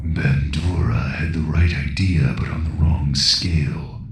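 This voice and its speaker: A man playing a menacing, scheming alien emperor in an audio drama, slow and commanding, with a gravelly voice. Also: steady tone, over music. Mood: gloating, scheming. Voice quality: very deep, gravelly. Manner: slow, imperious. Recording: distant echoing voice, faint noise.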